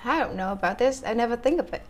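A young Vietnamese woman answering interview questions in halting English with a Vietnamese accent and many fillers. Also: fairly high pitch, lively intonation, slight background noise. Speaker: guest, English teacher.

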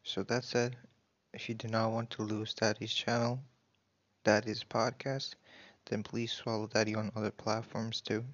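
A man with a lowish, very even voice speaks casually to his listeners as a podcast host. His delivery is halting, with hesitations as he plugs his channels. The recording is slightly dull and lo-fi. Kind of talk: casual promotional talk to listeners. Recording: dull, lo-fi sound.

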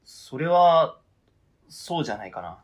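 A man acting a hesitant, flustered partner in an amateur radio drama, protesting in a halting, conversational way. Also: on the high side, sing-song. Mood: faltering protest.

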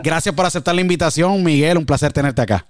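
A man's voice: a little high, quick pace, no echo, clean studio sound. A man hosting a podcast, effusive and repetitive, mixing English words into his speech as he presents an interview. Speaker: podcast host. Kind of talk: enthusiastic interview introduction.

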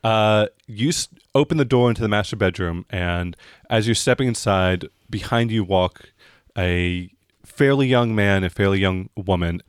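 Low-voiced man running a tabletop game. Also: normal speed, clear, resonant tone, no echo, clean studio sound. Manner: casual, descriptive, searching for words. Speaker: game master. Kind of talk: improvised narration of a tabletop game.

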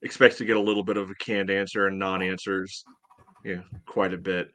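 A man co-hosting a sports podcast, chatting casually and thinking aloud in a halting, conversational way. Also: low-pitched, flat delivery, sharp tone. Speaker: podcast host.

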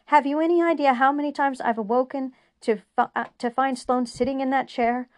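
A woman's voice: mid-range voice, lively intonation, a little slow, no room sound, clean studio sound. A woman voicing an agitated, frightened young male doctor who raises his voice.

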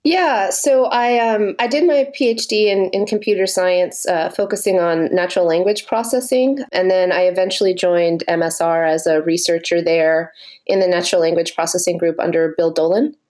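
A woman, a guest researcher, explaining her background in a measured interview style. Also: lowish voice, a little mellow. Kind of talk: interview answers.

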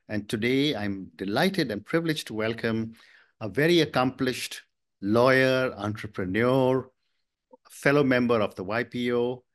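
A courteous male podcast host with an even, mid-range voice. He gives formal introductions and listens with frequent soft murmurs of acknowledgment. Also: mid-range voice. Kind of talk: interview.

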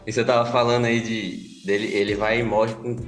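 A man's voice: on the low side, little pitch movement, brisk, soft background music. Laid-back Goiás-accented man, roomy sound. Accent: Goiás Brazilian Portuguese. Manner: rambling, laid-back, trailing off. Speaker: podcast co-host. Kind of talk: casual joking chat.

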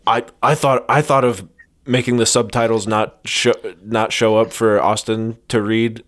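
A man's voice: on the low side, steady tone, measured pace, fairly bright tone. A man hosting a comedy podcast in casual, bantering talk. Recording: faint background noise, clicks.

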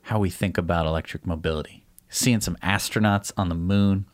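A man's voice: deep voice, fairly quick. A man co-hosting a casual news podcast, talking eagerly to viewers. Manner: eager, animated, urging viewers. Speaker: co-host.

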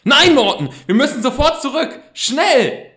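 A man's voice: very high-pitched, big pitch swings, measured pace, pushed and bright, voice pushed up, exaggerated voice. A man playing an earnest, pedantic teenage boy detective with formal, precise wording in an amateur radio play. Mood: urgent.

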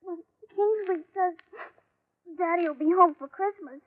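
A woman's voice: extremely high pitch, a little slow. A woman voicing an earnest, anxious young child in a plaintive, innocent way, in a 1940s radio drama. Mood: plaintive, hopeful. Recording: muffled vintage radio broadcast recording.

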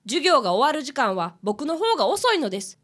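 A woman plays an eager fifth-grade boy detective for a radio drama. The voice is high and bright, swinging freely in pitch. He speaks politely and earnestly and gets flustered easily. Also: sing-song, a little fast. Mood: dismayed, explaining. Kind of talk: radio drama acting with first-person narration.

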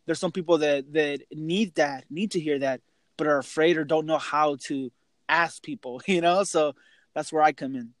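A man's voice: a little high, normal speed, dry recording. A man of thirty hosting a podcast in a casual, conversational interview style, very informal and peppering his talk with "you know". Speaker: podcast host.

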